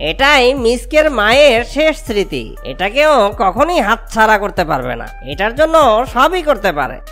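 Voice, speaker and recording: A woman's voice: fairly high pitch, big pitch swings, moderate pace, a bit bright, soft background music. A woman voicing a greedy, resentful young fox brother in a children's cartoon, with dramatic, exclamatory delivery. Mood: spiteful.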